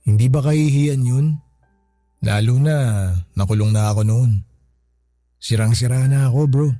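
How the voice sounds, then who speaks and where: A man playing a humbled older brother, a former tough guy, speaking casually and with gruff sincerity in a radio drama. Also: medium pitch, normal speed, mellow, no echo, lightly acted. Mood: regretful, humbled. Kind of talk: radio drama dialogue, slangy and conversational. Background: background music.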